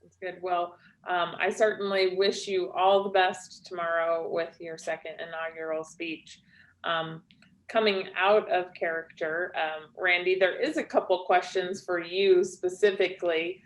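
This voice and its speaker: A woman moderates an interview and passes along questions in a polite, somewhat hesitant way. She often fills her pauses with ums. Her voice is a little lower than most women's. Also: fairly even intonation, roomy sound, poor audio.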